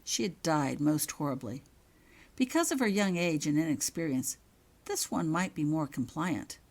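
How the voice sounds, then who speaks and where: Female author-narrator for scripted fiction, low register, animated intonation. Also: normal speed. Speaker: author reading own fan fiction.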